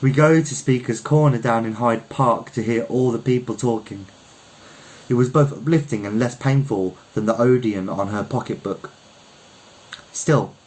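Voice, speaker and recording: A man's voice: medium pitch, darkish tone, low-quality recording. A man reading a literary short story aloud as its narrator. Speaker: story reader.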